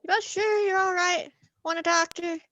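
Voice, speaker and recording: A woman's voice: extremely high pitch, steady tone, normal speed, sharp tone, dry recording. A woman playing a blunt, suspicious and easily alarmed bridge keeper in a radio play. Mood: concerned.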